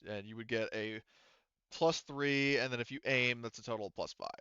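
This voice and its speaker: A man's voice: medium pitch, normal speed, sharp tone, smooth, dry recording. A man running a tabletop game as game master, narrating casually and informally with some swearing, on slightly dull audio.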